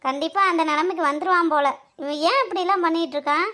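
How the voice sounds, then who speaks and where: A high-pitched, middle-aged Tamil mother-in-law from a cartoon soap parody, acted by a performer. She nags and complains in an exasperated, sarcastic, colloquial way. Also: fast talker, pushed and bright. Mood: concerned.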